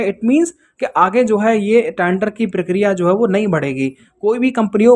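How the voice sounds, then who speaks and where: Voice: mid-range voice, darkish tone. An exam-prep presenter explaining things informally to students in a didactic way, checking in with rhetorical questions.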